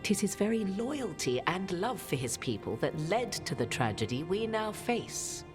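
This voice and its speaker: An actress playing an ancient, cryptic witch in a fantasy game, speaking in formal, archaic, deliberate and theatrical phrases. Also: mid-range voice, sing-song, close to an everyday voice. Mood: solemn, portentous. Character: ancient, imperious, cryptic witch carrying a goddess fragment. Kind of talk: dramatic fantasy game dialogue. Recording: studio voice over game music. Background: background music.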